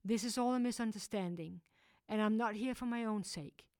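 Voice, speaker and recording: A woman's voice: fairly high pitch, moderate pace, darkish tone, no echo, studio quality, voice pushed up, close to an everyday voice. A woman voicing a desperate mother begging for her child. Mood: pleading. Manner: pleading, urgent. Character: desperate young mother pleading.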